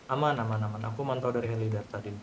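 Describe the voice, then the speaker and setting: A man's voice: on the low side, steady tone, very fast, slight background noise. A man chatting casually in a roleplay game, with a protective, checking-in way of talking. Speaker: roleplay gamer. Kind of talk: casual in-character roleplay chat.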